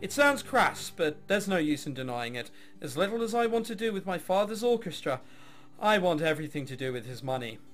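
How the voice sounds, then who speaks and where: A man's voice: fairly high pitch, sing-song, a little fast, a bit bright, soft background music. A man voicing a jaded young woman, a reluctant orchestra heiress in a visual novel, with sarcastic, bored delivery. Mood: cynical, uninterested.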